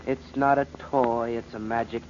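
An actor playing a bored, impatient young nephew in an old-time radio drama, offhand in manner. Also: male voice, medium pitch, steady tone, moderate pace, a little mellow, close to an everyday voice. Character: idle, impatient young nephew toying with magic tricks. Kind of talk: 1940s radio acting. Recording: muffled, faintly hissy old radio recording.

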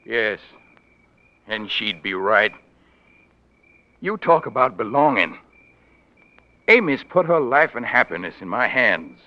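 An actor playing a torn, honorable married Southern gentleman in a 1940s radio drama. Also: medium pitch, very animated, slow pace, pauses often, muffled recording. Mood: firm.

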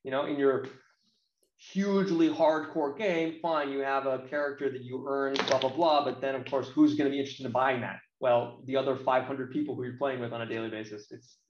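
A man's voice: medium pitch, fairly even intonation, fairly quick. A man in his late thirties with an American accent, chatting casually and bluntly as an interview guest. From United States. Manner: blunt, colloquial. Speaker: interview guest, games marketing professional. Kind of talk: casual opinionated chat. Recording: roomy echo, slightly dull sound.

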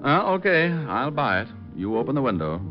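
An actor playing a suave, quick-witted male private detective in a 1950s radio drama. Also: medium pitch, big pitch swings, close to an everyday voice. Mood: playful. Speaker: lead actor. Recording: dull old radio broadcast with music.

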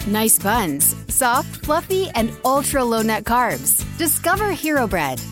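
A woman's voice: a little high, big pitch swings, a little slow, faint music behind. A woman narrating a scripted advertisement as a commercial announcer.

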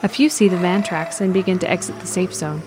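Low-pitched woman narrating a drama. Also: steady tone, brisk, music in the background. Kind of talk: scripted audio drama narration.

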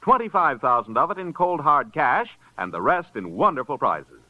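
A man's voice: fairly high pitch, very animated, normal speed, no echo. A man announcing in the style of 1950s network radio, delivering a show promo. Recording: dull vintage radio recording, music behind.

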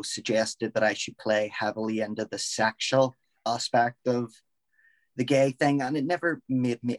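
A man's voice: medium pitch, no room sound. Male wrestler guest, earnest and heartfelt, dry close-miked sound. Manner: earnest, heartfelt, with teasing jabs. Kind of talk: casual chat.

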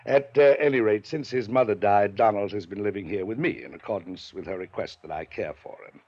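An actor playing a pompous, domineering wealthy older uncle in a 1950s radio drama. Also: male voice, fairly low pitch, a little fast. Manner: pompous, clipped, rises to angry lecturing. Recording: dull lo-fi 1950s radio broadcast.

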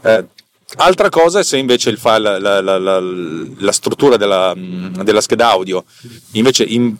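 A man's voice: fairly low pitch, expressive, measured pace. A man, a little tired, explaining technical matters as a podcast host in an informal, digressive way, often repeating and correcting his words. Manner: informal, digressive, slightly stammering with word repetitions and self-corrections; checks in with listeners using rhetorical questions. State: a bit tired. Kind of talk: casual explanatory tech monologue.

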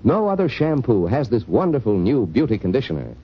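A man with a husky voice announcing an old-time radio advertisement. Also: medium pitch, sing-song, brisk. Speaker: commercial announcer. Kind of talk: 1940s-50s radio advertising pitch. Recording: dull old radio recording.

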